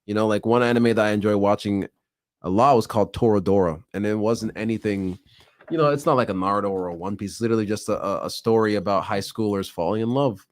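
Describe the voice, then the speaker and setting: A man's voice: fairly low pitch, fast talker, no echo, studio quality. A young man co-hosting a podcast, talking bluntly and laid-back in casual chat. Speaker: podcast co-host.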